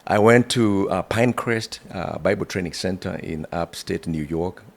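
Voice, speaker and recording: A middle-aged man from Kenya with a Kenyan accent, telling his life story as an interview guest, animated and full of "you know". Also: sits low, moderate pace, vocal fry.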